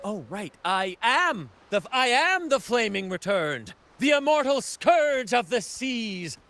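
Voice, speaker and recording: Playful, theatrical adventurer with lively pitch. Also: male voice, slow pace, exaggerated voice. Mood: grandiose menace. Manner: theatrical, teasing, coy. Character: playful, showy, wry young male adventurer. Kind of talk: video game character acting.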